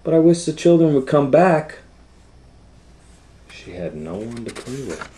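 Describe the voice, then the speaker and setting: A man reading a children's book aloud as a storytime educator, in simple, deliberate phrases with explanatory asides. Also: mid-range voice, big pitch swings, mellow, a little hiss or hum.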